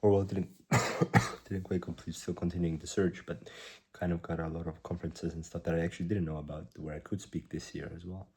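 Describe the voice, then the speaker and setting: A man in his twenties, a startup founder, recording a rambling video diary at a hushed volume while slightly sick with a cough. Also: deep voice, little pitch movement, slightly rough. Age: 20s. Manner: rambling, halting, self-correcting; keeps his voice down.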